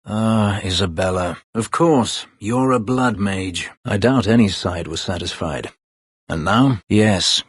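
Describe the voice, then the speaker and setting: Game role: bitter, brooding elven fugitive slave; deep male voice, terse and formal, recorded close and dry. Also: breathy edge, dry recording. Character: brooding, bitter escaped slave elf warrior, adult male. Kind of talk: video game character dialogue.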